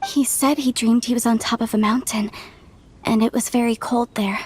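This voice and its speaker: A gentle nursing nun in a video game, speaking in a slightly high, even voice. She answers questions calmly and simply. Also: normal speed, breathy edge.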